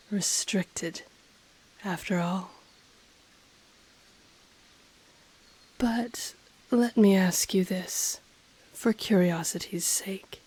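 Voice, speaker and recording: A woman voicing an ancient, powerful dragon in a fantasy audio roleplay, haughty and intrigued, speaking formally in a breathy half-whisper. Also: lowish voice, a little mellow. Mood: curious, approving. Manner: slow, formal, deliberate, haughty. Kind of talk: scripted audio roleplay, intimate monologue to listener.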